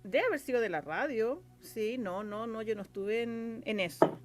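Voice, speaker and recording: A woman's voice: a little high, lively intonation, moderate pace, faint music behind. A Chilean woman answering as an interview guest, explaining casually in hesitant, circling phrases. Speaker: radio drama scriptwriter, interview guest.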